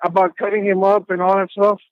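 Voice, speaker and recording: A man in his fifties from Texas, calling in from his car while driving, speaking politely in halting sentences with many restarts. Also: high-pitched, flat delivery, no echo. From Texas, USA. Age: 50s. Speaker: caller. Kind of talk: phone call-in. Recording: dull phone line.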